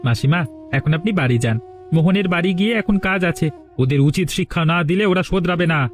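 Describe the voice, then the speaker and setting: A man's voice: high-pitched, animated, quick pace, faint music behind. A man voicing a loyal, protective young friend in a cartoon, earnest, reassuring and determined. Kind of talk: cartoon voice acting.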